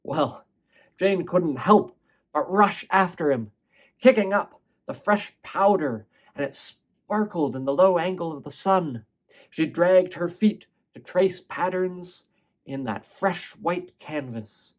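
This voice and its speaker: A man telling a fairy tale as a storyteller, expressively, with dramatic pauses and emphasis. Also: sits high, expressive. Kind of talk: performed fairy-tale narration.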